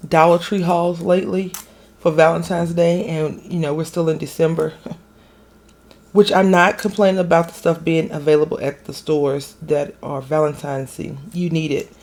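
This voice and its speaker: A woman hosting a craft video, chatting casually as she stamps a card. Her voice is raspy and a little hoarse from being sick, and she rambles and corrects herself as she goes. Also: sits low, level tone, normal speed, darkish tone. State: recovering from illness, hoarse. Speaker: craft channel host.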